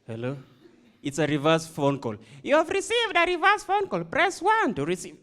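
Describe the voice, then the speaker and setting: Kenyan man, lively stand-up storyteller. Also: very high voice, moderate pace. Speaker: stand-up comedian. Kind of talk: stand-up set. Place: comedy club stage.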